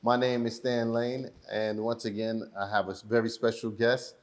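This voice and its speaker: A man hosting a casual interview show, relaxed, with short acknowledgements. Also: mid-range voice, moderate pace, a little hiss or hum. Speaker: host.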